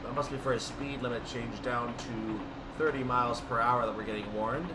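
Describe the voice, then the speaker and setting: A man's voice: medium pitch, steady tone, normal speed, heavy background noise. A man doing casual, explanatory gameplay commentary, thinking aloud as he goes. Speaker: gaming video creator narrating train simulator play.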